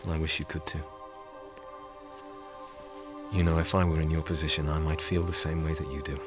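Earnest english sea captain, low voice, heartfelt pleading, radio play with music behind. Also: male voice, flat delivery, fast. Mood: sincere, apologetic. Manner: sincere, pleading. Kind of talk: radio drama acting.

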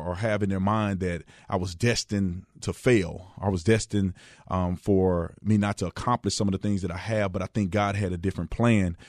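Texas guy hosting his radio show, lowish voice, dry close studio sound, chatty and laid back. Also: dry recording. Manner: relaxed, chatty storytelling with frequent restarts. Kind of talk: casual radio monologue.

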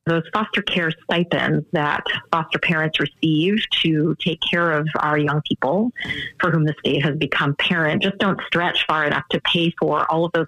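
Male charity executive, interview style: earnest, explanatory, gravelly fry, slightly raised pitch. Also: a bit bright, no room sound, highs cut off. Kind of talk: news interview soundbites.

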